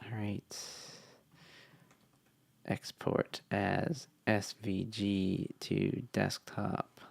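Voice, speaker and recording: A young man talking through a casual coding tutorial, relaxed and thinking aloud with fillers, his voice tired from long meetings. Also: fairly low pitch, drawn-out words, vocal fry. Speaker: web developer.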